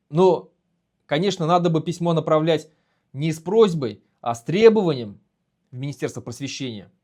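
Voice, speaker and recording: A man's voice: on the high side, a little mellow. Indignant man in a solo commentary. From Bashkortostan. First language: Bashkir. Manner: earnest, indignant, halting with fillers. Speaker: video host and activist.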